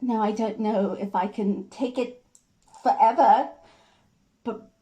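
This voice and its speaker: A woman acting a hurt, defiant, fiercely loving wife in a theatrical stage monologue, with emphatic, drawn-out delivery. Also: a little high, dark tone, highs cut off.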